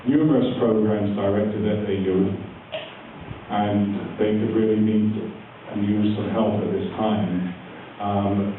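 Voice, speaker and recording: A man moderating a candidates forum in a community hall, chatty and joking, with frequent ums and uhs. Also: fairly low pitch. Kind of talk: live event emcee address. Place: community hall with a large audience. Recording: far-off, echoey, phone-like and noisy room recording.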